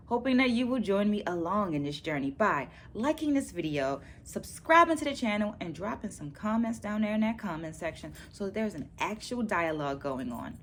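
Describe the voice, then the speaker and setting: A middle-aged woman presenting to viewers as a dance educator, in a measured, careful, teacherly way. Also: mid-range voice. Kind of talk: book-review vlog, presenting takeaways to viewers. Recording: clear background noise, room ambience.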